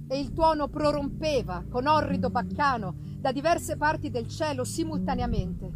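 High-pitched woman reading a dramatic story. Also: poor audio. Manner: expressive, theatrical, deliberate phrasing with pauses. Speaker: reader of a literary audiobook. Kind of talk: dramatic literary narration. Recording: live reading with noticeable background noise.

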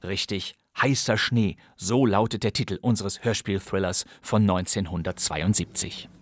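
A man's voice: on the low side, normal speed, no echo, studio quality. A man hosting a podcast, presenting vintage radio crime plays in a playful, theatrical way. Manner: playful, theatrical, relishing old thriller titles. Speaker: podcast host.

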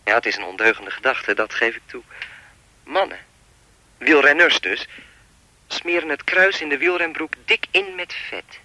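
Menacing, sharp-voiced male phone stalker. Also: a little high, normal speed, long pauses, dry recording. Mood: lewd, teasing. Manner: insinuating, mock-polite, rambling. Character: menacing male anonymous caller, chatty, lewd, taunting stalker. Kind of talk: radio play acting.

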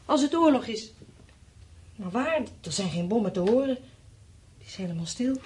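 An actress plays a woman who has survived a catastrophe, her voice on the high side. She is brooding and mocking, swinging between dreamy softness and bitter, frightened sarcasm in naturalistic radio drama style. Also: lively intonation, moderate pace, slight background noise, natural voice. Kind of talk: naturalistic radio play acting. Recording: radio play with background ambience.

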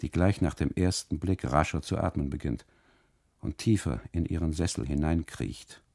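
A man narrating a literary radio monologue in deliberate phrases, with dramatic pauses and emphasis. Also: a bass voice, gravelly, darkish tone. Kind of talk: literary first-person narration, 1980s German radio play.

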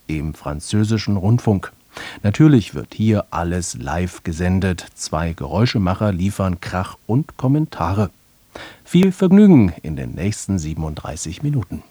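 A man's voice: lowish voice, very animated, unhurried, mellow, no echo. A man reading a scripted introduction to a radio play as its presenter. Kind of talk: scripted narration.

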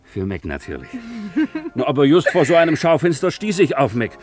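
An exuberant young working man in love in a 1950s radio drama. His voice sits high and leaps up and down, bubbling with hope and laughter, with music playing behind him. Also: normal speed. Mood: excited. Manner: excitable, laughs through his speech. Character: exuberant young working-class craftsman in love, defiantly hopeful.

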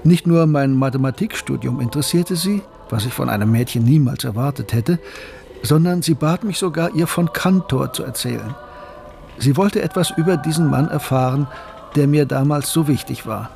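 A man acting as a reflective student who recalls his life in a measured, literary radio drama narration. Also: medium pitch, creaky, dark tone, natural voice. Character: Gustav, reflective male mathematics student recalling his past. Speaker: narrator and protagonist. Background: music.

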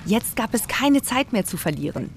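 A woman's voice: a little high, big pitch swings, moderate pace, soft background music. A woman narrating a children's radio play in a scripted storytelling style.

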